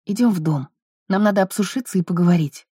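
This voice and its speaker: An earnest wife and mother, acted by a woman narrator, reasons in a conciliatory, hesitant way. The voice is mellow and soft, recorded dry for an audiobook. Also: lowish voice, fast, breathy edge, dry recording. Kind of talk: audiobook character dialogue.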